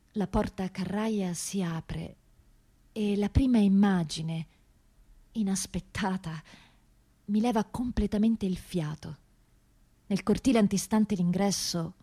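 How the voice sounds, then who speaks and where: Slow, reflective woman narrating radio theatre. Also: mid-range voice, mellow, slightly rough. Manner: measured, reflective delivery with long pauses. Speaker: actor-author narrating a monologue. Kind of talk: dramatic radio theatre narration in first person.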